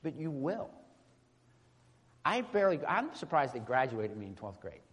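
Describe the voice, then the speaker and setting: A man preaching an informal, teaching-style sermon in a halting, conversational way, often checking in with short tag questions. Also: medium pitch, sing-song. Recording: echoey hall with long reverb.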